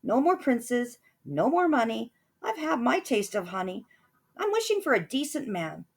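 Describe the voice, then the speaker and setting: A woman's voice: a little high, big pitch swings, moderate pace, creaky, breathy edge, voice pushed up, exaggerated voice. A woman doing a whiny, demanding young heroine. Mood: dismayed.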